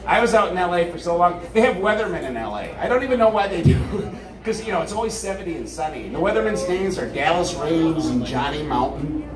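Middle-aged wisconsin guy doing crude stand-up, swears a lot, high lively voice, loud crowd all around. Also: fast talker. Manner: crude, swearing, self-mocking jokes. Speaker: comedian. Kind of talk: stand-up comedy bits. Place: large hall. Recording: roomy hall, distant mic, loud crowd.

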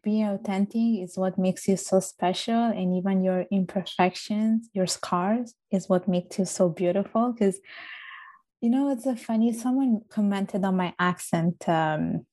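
A woman's voice: medium pitch, warm tone. A woman with a strong Italian accent, chatting as a guest in an earnest, emphatic way. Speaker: interview guest. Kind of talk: casual interview chat.

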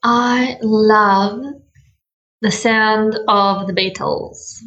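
A young woman with an Australian accent answering interview questions in a bubbly, giggly way. She stretches out words for emphasis and speaks at an everyday pitch, with a relaxed, conversational delivery. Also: drawn-out words, lo-fi sound. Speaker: interview guest. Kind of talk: casual interview chat.

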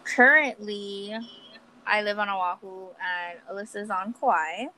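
A woman's voice: medium pitch, takes it slowly, pushed and bright, rough recording. 20-something woman from hawaii, chatty podcast cohost, laughs a lot, light background hiss. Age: 20s. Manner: chatty, laughs often, filler words. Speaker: podcast co-host. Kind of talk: casual chat.

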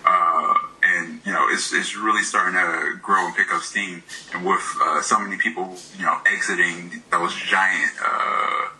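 A man's voice: low-pitched, steady tone, measured pace, hardly any pauses, sharp tone, a little hiss or hum, rough recording. A man in his thirties from Michigan, an indie game developer, talking casually in an interview, hesitant with many fillers and laughs, his voice slightly worn.

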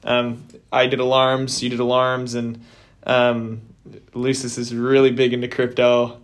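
A man's voice: medium pitch, completely flat delivery, unhurried. A young man hosting a podcast, upbeat and enthusiastic, with casual slang. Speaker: podcast host. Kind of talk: podcast interview.

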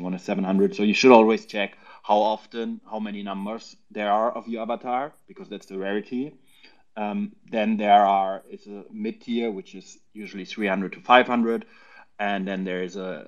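A man explaining things casually as a panel guest, haltingly and often repeating words, over lo-fi voice chat audio. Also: lowish voice.

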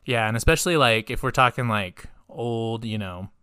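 A woman's voice: extremely low pitch, animated, normal speed, pushed and bright, clear, resonant tone, dry recording, clean studio sound. A woman co-hosting a podcast in casual chat, rambling with lots of filler words and laughter. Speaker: podcast co-host.

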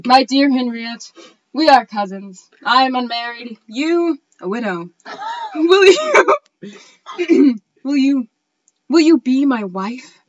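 A woman acts out a melodramatic gentleman in love, forever flattering and imploring. The voice is high and swings widely in pitch, theatrical in the manner of a comic stage play. The recording is slightly dull and lo-fi. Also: slow pace, no room sound, full character voice. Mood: earnest, hopeful. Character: lovesick pleading male suitor, flattering and melodramatic. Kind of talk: comedic cold reading of a stage play.

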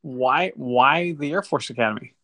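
Older guy interviewing, gravelly voice, trips over his questions. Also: medium pitch, normal speed, fairly bright tone. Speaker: podcast host interviewer. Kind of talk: casual interview.

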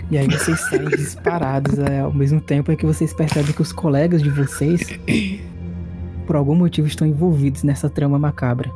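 A man, narrating a tabletop horror-comedy game as game master in a relaxed way. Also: on the high side, a little fast, over music. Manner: relaxed, laughs easily.

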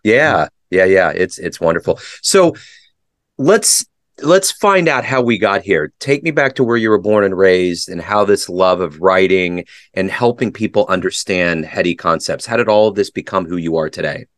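A man hosting a podcast interview, asking friendly questions and chiming in with quick affirmations. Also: low-pitched, animated, no room sound, studio quality. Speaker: interview host.

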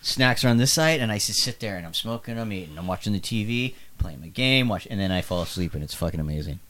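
A man's voice: lowish voice, fast talker. A man co-hosting a podcast, telling rambling, profane stories in casual banter. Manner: animated, swearing, rambling storyteller. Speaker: podcast co-host.

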